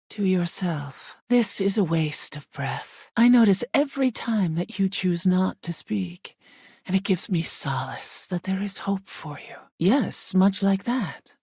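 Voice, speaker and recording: A woman voicing an ancient, aloof female spirit of air in a video game, with a husky, breathy voice that often sinks to a whisper and a solemn, formal delivery. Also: lowish voice, lively intonation, a little slow, mellow, no echo, studio quality, heavily acted. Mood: hushed, sensual. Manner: languid, imperious, solemn, formal diction. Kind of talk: video game character acting.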